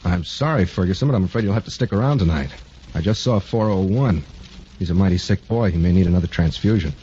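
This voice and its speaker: An actor playing a wry, persuasive senior hospital doctor in a 1940s radio drama. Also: male voice, deep voice, fast talker, warm tone, lightly acted. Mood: insistent. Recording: dull, noisy 1940s radio recording.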